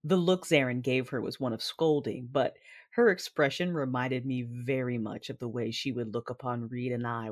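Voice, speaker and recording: A woman playing a grave, reserved warrior, narrating an audio drama in measured, formal, literary language. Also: extremely low pitch, heavily acted.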